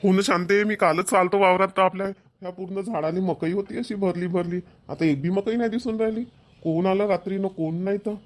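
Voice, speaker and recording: Man voicing a higher-pitched, grumbling village farmer. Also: fairly even intonation, fairly quick, lightly acted. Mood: baffled, upset. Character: village farmer husband and father, worried, grumbling. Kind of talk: Marathi village cartoon comedy dialogue.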